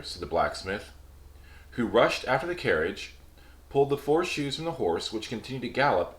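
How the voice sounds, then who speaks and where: A man with a lowish voice reads a fairy tale aloud, relaxed and chatty, letting his pitch rise and fall with the story. The room around him rings with noticeable echo. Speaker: storyteller host. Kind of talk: casual storytelling reading.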